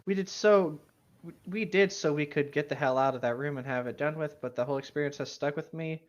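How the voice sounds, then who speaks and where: A man's voice: on the high side, brisk, muffled recording. A man bantering casually and reading out a story as co-host, joking and playing along.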